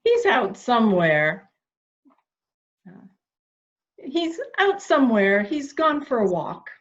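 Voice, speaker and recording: Woman as an anxious, high husky-voiced lady, lo-fi. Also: very animated, full character voice. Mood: flustered. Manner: agitated, halting. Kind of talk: dramatic reading. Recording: dull, lo-fi audio.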